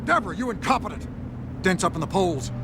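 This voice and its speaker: High-pitched, blustering corrupt mayor. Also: male voice, lively intonation, fast talker, creaky, heavy background noise. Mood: irritated, bossy. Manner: agitated, indignant, bossy. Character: blustering, corrupt male mayor, cornered and self-righteous. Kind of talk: dramatic video game acting.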